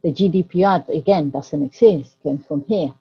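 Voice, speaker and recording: A woman from Ecuador with a low, husky voice, speaking English as an on a panel. She gropes for words and repeats herself as she goes. The sound is dull and muffled. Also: normal speed, faint music behind. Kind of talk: panel talk.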